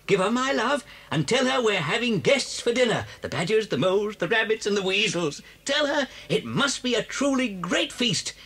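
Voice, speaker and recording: A man's voice: high-pitched, very animated, fairly bright tone, husky, full character voice. A man with a British accent playing a clever, cocky father fox in a children's audio drama, theatrical and persuasive. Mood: jovial, bossy. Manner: theatrical, sly, drawn-out phrasing.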